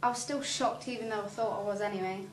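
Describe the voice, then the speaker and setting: A woman's voice: mid-range voice, fairly even intonation, fast. A nineteen-year-old woman, a young mother, giving an earnest, reflective personal testimony. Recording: roomy, distant, noisy.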